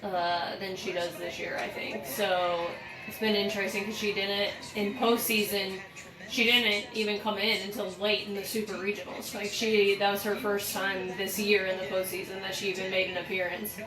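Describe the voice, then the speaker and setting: A woman's voice: medium pitch, little pitch movement, normal speed. A woman co-hosting a show in a casual, conversational commentary style. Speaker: podcast co-host. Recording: echoey room with crowd noise.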